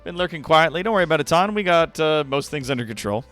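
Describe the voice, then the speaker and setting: A man's voice: on the high side, animated, fast, fairly bright tone, soft background music. A man hosting a livestream, giving a dramatic reading of fan fiction with wry, sweary asides to viewers. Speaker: livestream host.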